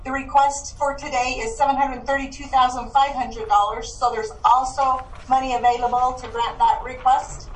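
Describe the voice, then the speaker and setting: High-voiced woman giving the finance report over a call speaker, brisk and businesslike, sounding distant in a reverberant room. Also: level tone, a little hiss or hum. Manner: brisk, matter-of-fact, reads figures. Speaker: finance officer giving an update. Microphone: heard through a call speaker in the room. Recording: distant, echoey call audio.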